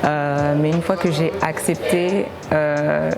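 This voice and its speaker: A woman's voice: low-pitched, steady tone, takes it slowly, creaky, a crowd in the background. A woman in her late twenties from South Africa, speaking reflectively and hesitantly in a documentary interview. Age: late 20s. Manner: reflective, hesitant, with many fillers. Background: music.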